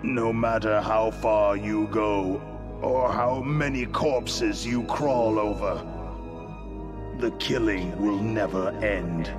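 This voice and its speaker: An actor playing a menacing, cryptic mystic villain in a video game, taunting in a theatrical, portentous manner. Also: male voice, fairly low pitch, fairly even intonation, takes it slowly, over music. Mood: prophetic, menacing. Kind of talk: dramatic video game voice acting.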